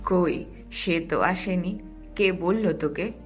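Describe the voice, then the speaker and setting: A woman voicing a busy, scolding mother of an old-time household in an audio story, expressive and homely. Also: on the low side, takes it slowly, soft background music. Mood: puzzled. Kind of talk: acted character voice in audio storytelling.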